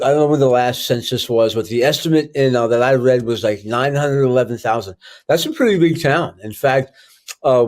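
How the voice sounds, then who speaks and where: Male podcast host, relaxed and chatty, mid-pitched, rambles through numbers with filler words. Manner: chatty, loose, thinks aloud with many ums. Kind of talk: casual podcast interview.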